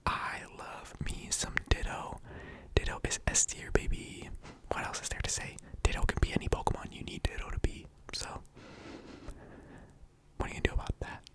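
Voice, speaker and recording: Hushed whispering casual ASMR host. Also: normal speed. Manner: whispering throughout, hesitant and playful. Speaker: video host ranking game characters. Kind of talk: whispered ASMR, casual rambling tier-list commentary.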